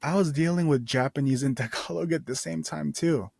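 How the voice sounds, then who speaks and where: A man's voice: fairly high pitch, a little fast, vocal fry, a little mellow, husky, no room sound, studio quality. A man in his twenties casually telling a personal story as a podcast host, rambling with restarts and laid-back slang. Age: 20s.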